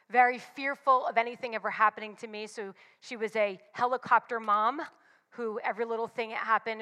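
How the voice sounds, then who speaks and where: A woman's voice: fairly high pitch, pushed and bright, big-room sound. A woman in her forties giving a candid, confessional devotional talk with emphatic repetitions. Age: 40s. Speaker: church speaker.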